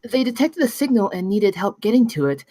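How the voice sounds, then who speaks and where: A woman's voice: medium pitch, sing-song, a little fast, warm tone, no echo. A woman playing a plainspoken, brilliant thirteen-year-old girl in a script table read. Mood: matter-of-fact.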